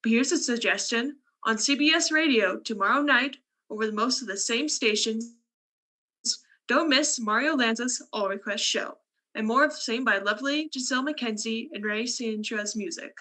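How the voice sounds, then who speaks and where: A woman's voice: on the high side, normal speed, sharp tone, rough recording. A woman reading scripted announcements and promos in the style of an old-time radio announcer.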